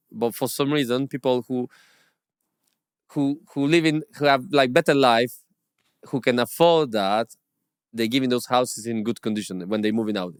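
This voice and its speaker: A man speaks as an interview guest in halting, non-native English, stopping often for fillers and restarting his sentences. His voice is smooth and clear and sits at a middling pitch. The recording is dry, with no room echo. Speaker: property investor, podcast guest. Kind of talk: conversational interview storytelling.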